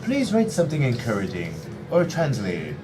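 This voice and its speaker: Playful, animated male stream host. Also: mid-range voice, moderate pace, over music. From United States. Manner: playful, animated, hypes things up. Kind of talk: casual chat.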